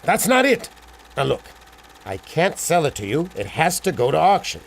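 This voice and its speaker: A man's voice: a little high, wildly swooping pitch, moderate pace, a little hiss or hum, exaggerated voice. A man playing an anxious, fatherly older studio manager who frets and pleads in old-fashioned slang. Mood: uneasy. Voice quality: creaky. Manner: fretful, pleading, Yiddish-flavoured slang. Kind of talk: old-time adventure serial acting.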